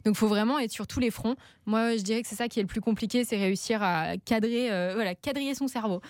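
A woman's voice: fairly high pitch, fast talker, no echo. A woman from a radio show's team, chatting casually and hesitantly with many fillers. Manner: hesitant, lots of fillers, agreeing short replies. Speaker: production team member. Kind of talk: casual chat.

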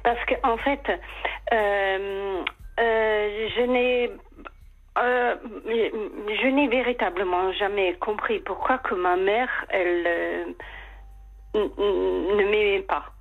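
A woman with a slight English accent calling a radio show, speaking haltingly with many hesitations as she opens up emotionally. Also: mid-range voice, steady tone. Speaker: caller. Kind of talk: personal confession on call-in radio. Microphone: telephone line. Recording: muffled phone-line call.

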